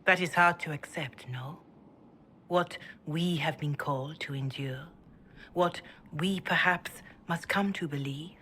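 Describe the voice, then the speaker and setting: An actress playing a calm, grave priestess who speaks softly and in a measured way, often half-whispered. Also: female voice, low-pitched, a little hiss or hum. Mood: solemn. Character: calm elderly woman cleric, gentle and grave.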